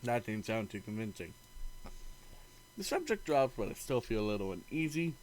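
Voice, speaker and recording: A man's voice: on the low side, sing-song, normal speed, a little hiss or hum, low-quality recording. A man reading a visual novel aloud in a let's play, narrating the story and acting out the dialogue expressively.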